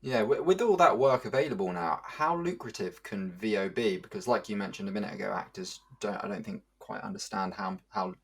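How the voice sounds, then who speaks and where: A man's voice: lowish voice, fairly quick, vocal fry, few pauses, slightly rough. A man hosting a podcast interview in a casual, conversational style.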